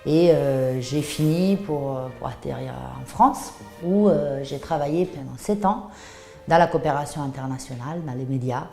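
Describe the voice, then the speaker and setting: A woman's voice: rumbling low, normal speed, gravelly, mellow, roomy sound, soft background music. A woman in her thirties from southern Italy, speaking with an Italian accent in a hesitant, think-aloud way as she explains her craft. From Puglia, southern Italy. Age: 30s. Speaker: artisan cheesemaker and shop founder. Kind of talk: personal interview account of her craft.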